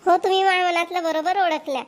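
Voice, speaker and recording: A woman's voice: very high voice, fairly even intonation, fast. A woman playing a spoiled, argumentative young wife in a cartoon, whiny and defiant, with a Vidarbha Marathi accent. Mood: demanding. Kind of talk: amateur cartoon voice acting.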